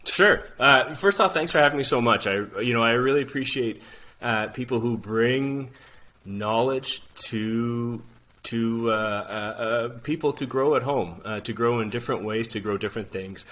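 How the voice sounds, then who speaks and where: A man in his forties from Canada, a guest on a gardening podcast, speaking over a video call with earbuds in a hesitant way, with many pauses and restarts. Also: mid-range voice, pushed and bright. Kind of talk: casual interview talk.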